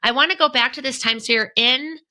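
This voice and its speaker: A woman hosting a podcast interview, effusive and quick to laugh, often trailing off mid-thought. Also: sits high, bright, forceful tone. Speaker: podcast host.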